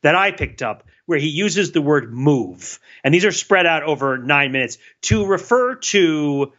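A man co-hosts a podcast in a casual, analytical chat, often pausing and restarting as he works through a thought. His voice sits a little high and sounds bright and forward. The audio is a little dull and lo-fi. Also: animated. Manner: thoughtful, many fillers and restarts. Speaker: podcast co-host.

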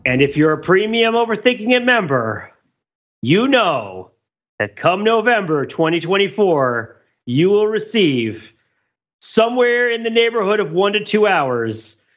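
A man's voice: high voice, sing-song, unhurried, a bit bright, muffled recording, faint music behind. A man co-hosting a podcast, talking casually about pop culture in an enthusiastic, geeky way. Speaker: podcast co-host.

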